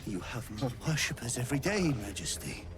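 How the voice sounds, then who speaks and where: A man's voice: medium pitch, sing-song, a little fast, lightly acted. A man voicing a fanatical half-spider creature in a fantasy game, reverently devoted to his queen and lapsing from muttering into whispers and sudden cries. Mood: reverent, gloating.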